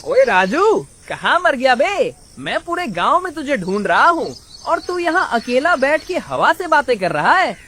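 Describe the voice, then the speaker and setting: A woman's voice: sits high, big pitch swings, unhurried, few pauses, fairly bright tone, a little hiss or hum, heavily acted. A woman voicing a brash, joking young male villager in a cartoon story, boisterous and teasing. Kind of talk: Hindi cartoon story acting.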